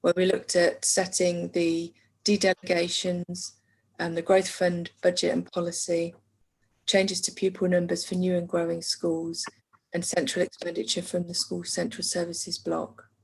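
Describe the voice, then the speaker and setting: Husky, gravelly woman briefing a meeting. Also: fairly low pitch, completely flat delivery, low-quality recording. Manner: measured, with frequent hesitation fillers. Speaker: council finance officer. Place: council meeting.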